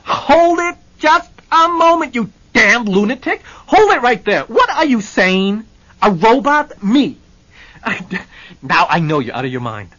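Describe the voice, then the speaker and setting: A man voicing a hot-tempered, indignant man who sputters and shouts, on a muffled lo-fi recording. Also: on the high side, very animated, normal speed, husky, pitched above the performer's own voice. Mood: outraged.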